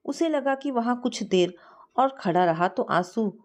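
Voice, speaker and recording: A woman narrating a scripted story in an expressive, sentimental manner. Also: fairly high pitch, a little slow.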